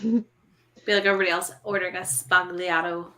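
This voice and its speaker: A woman in casual, lively banter with friends. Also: on the low side, moderate pace, poor audio.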